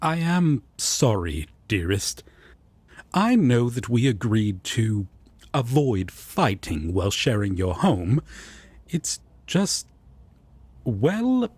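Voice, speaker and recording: A man's voice: medium pitch, very animated, slow pace, a little mellow, husky, no room sound, exaggerated voice. A man playing a prim, devoted angel roommate in an audio roleplay, formal and polite but easily indignant and haughty toward his rival. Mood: apologetic.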